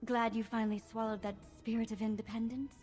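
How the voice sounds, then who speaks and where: A woman's voice: on the high side, little pitch movement, quick pace, a little mellow, natural voice. An actress playing a spirited, candid young woman in a science-fiction video game, with a frank and direct manner. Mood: candid, sincere. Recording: reverberant hall, music behind.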